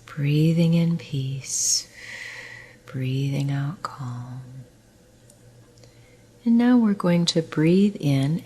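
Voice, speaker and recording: A middle-aged woman, a nurse, leading a guided relaxation session in a soft, soothing voice that often drops to a near whisper. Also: low voice, slightly rough.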